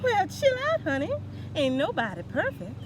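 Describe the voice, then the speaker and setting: A woman's voice: sits high, wildly swooping pitch, normal speed, background noise, voice pushed up. A woman voicing a sweet, sassy fairy who talks in hip slang for a children's storybook. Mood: sassy, sympathetic. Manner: slangy, sassy, soothing pet names.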